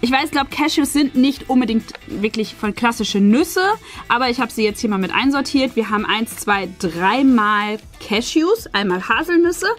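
High-voiced chatty woman hosting an unboxing. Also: lively intonation, moderate pace. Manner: chatty, explanatory. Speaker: host of an unboxing channel. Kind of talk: casual unboxing chat to viewers. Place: small furnished indoor room. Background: faint background music.

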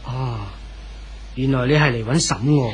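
A man playing a kindly, talkative old church pastor in a 1970s radio drama, with a Hong Kong Cantonese accent and a gentle, unhurried manner. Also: medium pitch, a little hiss or hum, lightly acted. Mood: kindly, helpful. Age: older.

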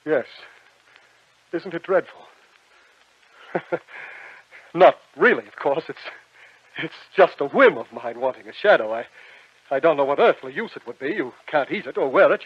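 An actor playing a silky, devilish stranger in a radio drama, coaxing with theatrical, mock-courteous charm. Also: male voice, fairly high pitch, animated, normal speed, pauses often, slightly rough, dry recording. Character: sly, silky devilish stranger who buys shadows. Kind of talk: old-time radio drama acting. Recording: dull vintage radio recording with music.

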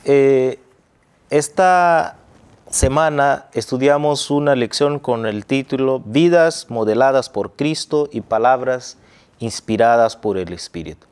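Measured middle-aged pastor from Angola. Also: male voice, medium pitch, darkish tone, clear, resonant tone. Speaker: pastor and host. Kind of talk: Bible study review. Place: TV studio. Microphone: lapel.

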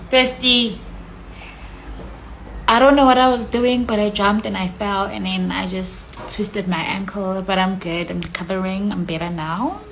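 A woman's voice: mid-range voice, a bit bright, slight background noise, rough recording. Playful South African woman on a phone live. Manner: playful, chatty, slips into whispers. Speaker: live-stream host reading comments. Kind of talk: casual live-stream chat. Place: small room indoors. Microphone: phone at arm's length.

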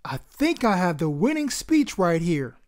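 An anxious schoolboy from a children's storybook, read by a woman. He sounds earnest, plaintive and unsure of himself, with an animated pitch. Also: a little slow. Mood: discouraged.

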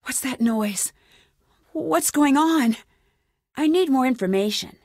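A woman playing a plucky, quick-witted young female detective in a video game, thinking aloud in clearly spoken one-liners. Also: high-pitched, animated, darkish tone, dry recording, studio quality, full character voice. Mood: surprised, then musing, determined. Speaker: game protagonist.

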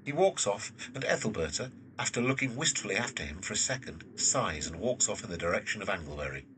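A man's voice: fairly low pitch, big pitch swings, fast, recorded from a distance, noisy background. A man reading scripted stage directions as the narrator of a drama, a little off the microphone.

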